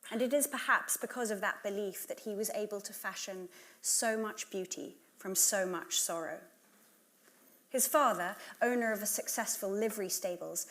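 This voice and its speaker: Woman presenter, middle pitch, composed, articulate, scripted biographical narration. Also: a bit bright. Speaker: presenter reading introductions.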